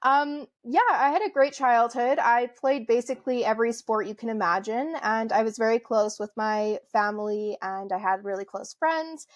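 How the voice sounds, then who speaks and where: A young woman from Canada chats as a podcast guest, friendly and easygoing, with lots of fillers and soft laughs. Her voice sits slightly high, and the recording is dry and close, with no room echo. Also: normal speed, hardly any pauses, lo-fi sound. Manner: friendly, chatty, frequent fillers and light laughs. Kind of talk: casual interview conversation.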